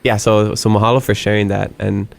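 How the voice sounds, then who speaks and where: Gravelly low-voiced man hosting. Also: flat delivery, fairly quick. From Hawaii. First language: Hawaiian. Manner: earnest, frequent self-restarts and stammered repeats. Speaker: podcast host. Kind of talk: casual conversational interview.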